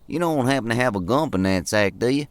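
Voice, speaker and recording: An actor playing a brash, mocking hobo in an audio drama, with a folksy, slangy, needling way of talking. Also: male voice, medium pitch, lively intonation, quick pace, clear, resonant tone, dry recording, lightly acted. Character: brash, mocking male hobo sizing up newcomers.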